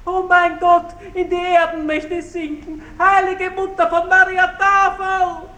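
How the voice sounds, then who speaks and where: A woman's voice: very high voice, flat delivery, a little slow, pushed and bright, heavily acted. An actress playing a wailing, melodramatic woman who claims to be wronged, in a theatrical German radio play. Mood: shrill, wailing. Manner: melodramatic, lamenting. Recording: roomy radio drama recording.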